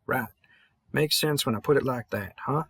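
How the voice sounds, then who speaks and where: A man's voice: medium pitch, normal speed, a bit bright, breathy edge, dry recording. A man playing a tired veteran vampire hunter from the American South, mumbling and rambling folksy encouragement in an intimate audio roleplay. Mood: encouraging.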